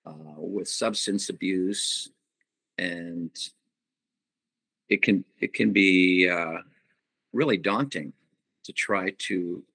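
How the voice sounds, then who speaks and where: Low-voiced, forceful middle-aged man. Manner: reflective, pausing to find words. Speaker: musician guest, band singer. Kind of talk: casual interview answers.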